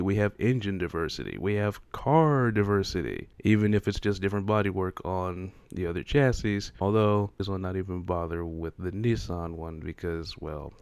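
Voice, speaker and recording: A man with a fairly low voice delivers casual, opinionated commentary as the host of a video. His manner is exasperated and full of rhetorical questions, and the sound is dry and close. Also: darkish tone, clean tone, no echo.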